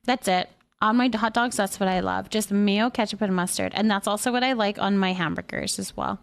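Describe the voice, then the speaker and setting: A woman's voice: medium pitch, a little fast, creaky. A woman chatting casually and playfully as she hosts a livestream and responds to viewers' comments. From Canada. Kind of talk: casual chat, reading and answering viewer comments.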